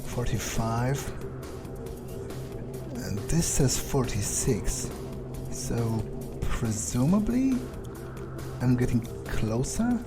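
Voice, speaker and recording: A man acting as a wary, foul-mouthed vampire picking through danger, muttering his thoughts slowly and haltingly. He pauses often and swears under his breath. The pitch is an ordinary male one, with prominent game music behind it. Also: animated. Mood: puzzled, musing. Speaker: gameplay video creator role-playing his character. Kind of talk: in-character improvised gameplay monologue, thinking aloud.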